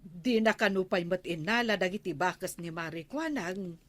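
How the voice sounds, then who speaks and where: An actress playing an inquisitive, gossiping village neighbour in a radio comedy drama, with an Ilocano accent. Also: female voice, on the low side, expressive, normal speed, fairly bright tone, no room sound. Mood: curious.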